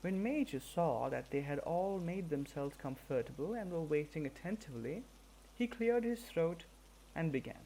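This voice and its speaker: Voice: mid-range voice, animated, moderate pace, warm tone, a little hiss or hum, poor audio. A person reading a storybook narration aloud from a script. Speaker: audiobook reader.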